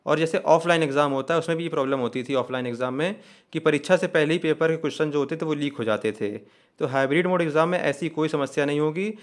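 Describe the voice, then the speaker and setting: A man's voice: on the high side, fast talker. A man presenting an exam news update, explaining each point methodically, step by step. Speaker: host of an exam news channel.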